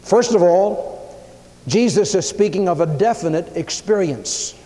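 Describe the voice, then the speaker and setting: Fairly high, mellow-voiced man preaching, emphatic, big hall echo. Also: lively intonation, measured pace. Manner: emphatic, swings pitch and loudness, acts out quoted speakers. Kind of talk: sermon. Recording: long hall reverb.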